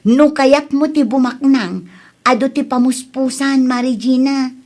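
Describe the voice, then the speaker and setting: A woman's voice: sits high, steady tone, unhurried, darkish tone. A woman playing a pushy, boastful neighbour who coaxes a friend in an Ilocano radio comedy drama, with a Filipino Ilocano accent. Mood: coaxing, boastful.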